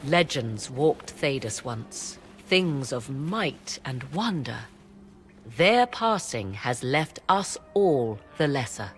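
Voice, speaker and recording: A woman's voice: rumbling low, sing-song, slow, soft background music, full character voice. An actress playing a proud, sardonic woman mage in a fantasy game, speaking formal archaic English with a haughty air. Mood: fervent.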